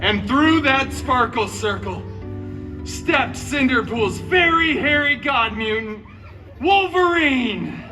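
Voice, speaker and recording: A man with a notably high voice tells a comic story live at a theme park. He takes his time, theatrical and sarcastic with wry asides. He sounds distant, with clear music behind him. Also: lively intonation, slow, a crowd in the background. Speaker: costumed theme park performer reading a story. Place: outdoor theme park show.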